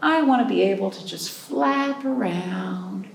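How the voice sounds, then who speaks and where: A woman hosting a library storytime, reading picture books and chatting to young children in an animated way with simple questions. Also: mid-range voice, unhurried, gravelly. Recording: roomy, distant mic with background noise.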